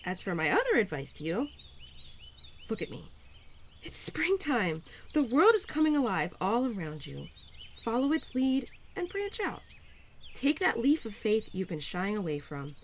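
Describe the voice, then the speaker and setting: A woman's voice: a little high, very animated, unhurried, a little hiss or hum. A woman playing a cheerful, pun-loving spirit of spring in an intimate audio roleplay, playful and encouraging with flustered hesitations.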